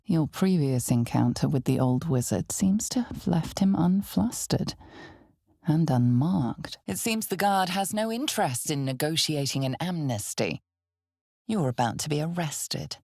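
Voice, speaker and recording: A woman playing the knowing, ominous narrator of a dark fantasy game, speaking with deliberate, theatrical, hushed intensity. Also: low-pitched, vocal fry, husky, dry recording, clean studio sound, heavily acted. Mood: grave, intense. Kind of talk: dramatic scripted fantasy narration.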